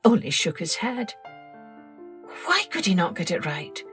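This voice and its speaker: Expressive woman narrating a children's story. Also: lowish voice, unhurried, soft background music. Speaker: children's storytelling podcast host.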